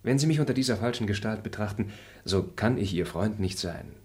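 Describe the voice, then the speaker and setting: An actor playing a noble, high-minded male traveller who speaks formally and moralizes in a radio drama. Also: expressive, brisk, a little mellow, lightly acted. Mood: lofty.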